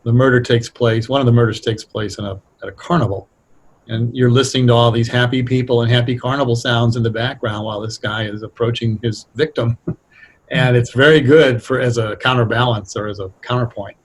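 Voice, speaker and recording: A man with a mid-pitched, somewhat husky voice. He explains his craft in a relaxed interview style, pausing to think and rephrase as he goes. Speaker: radio drama writer, interview guest. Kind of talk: casual explanatory interview talk.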